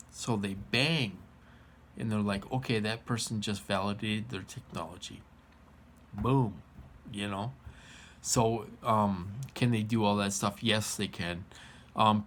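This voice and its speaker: An American man in his forties giving an informal monologue to viewers, colloquial, repetitive and emphatic. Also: fairly low pitch. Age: 40s. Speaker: vlogger.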